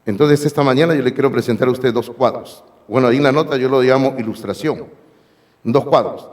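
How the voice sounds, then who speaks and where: A hoarse man preaching a sermon as pastor, emphatic and speaking directly to his congregation in a church sanctuary. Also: medium pitch, a little fast, darkish tone. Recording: long reverb of a church hall.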